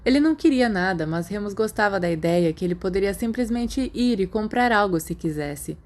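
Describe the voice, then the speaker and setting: A woman's voice: mid-range voice, lively intonation, brisk. Female narrator for fiction audiobook, Brazilian accent, mid-range voice, scripted storytelling.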